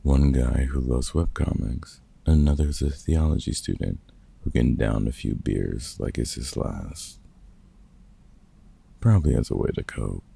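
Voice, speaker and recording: Casting: gruff older vampire hunter played by a man, fatherly and wry, rock-bottom pitch, heavily husky, for comfort roleplay audio. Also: measured pace, mellow, exaggerated voice. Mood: fond, encouraging. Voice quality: very gravelly, husky. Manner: dry, teasing, hesitant with unfamiliar slang. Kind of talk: ASMR roleplay audio drama, one-sided conversation.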